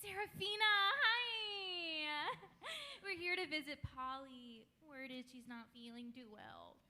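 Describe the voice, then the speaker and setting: A woman's voice: sits high, lively intonation, slow pace, sharp tone, heavily acted. A woman playing a chatty, pushy teen girl. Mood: fake-cheery. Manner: rambling, wheedling. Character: chatty, self-important teenage girl.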